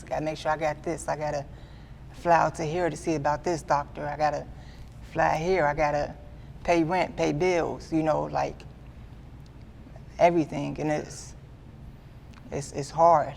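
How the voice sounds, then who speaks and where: A man in his twenties giving a candid sit-down interview, earnest and halting, often repeating himself as he restarts. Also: a little high, flat delivery, gravelly, a little mellow, slight background noise. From Midwestern US. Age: 20s. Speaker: guest artist.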